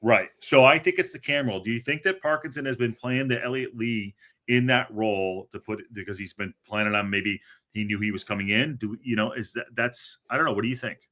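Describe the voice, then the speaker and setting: Excitable man hosting a football podcast. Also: medium pitch, brisk. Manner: animated, rambling, restarts a lot. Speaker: podcast host. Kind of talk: casual chat.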